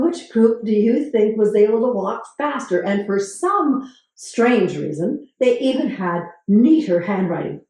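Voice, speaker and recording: Gravelly-voiced woman explaining stuff calmly, lots of room echo, kinda dark tone. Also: medium pitch. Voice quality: gravelly voice today. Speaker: host. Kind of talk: talking to camera, explaining research. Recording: very echoey room.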